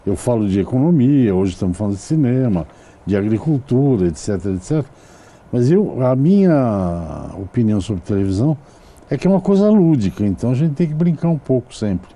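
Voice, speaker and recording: A male television host with a very dark, mellow voice whose pitch swings widely as he talks. He asks long, meandering questions full of asides. It is a casual studio interview. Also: sing-song, a little fast. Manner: rambling, digressive questions.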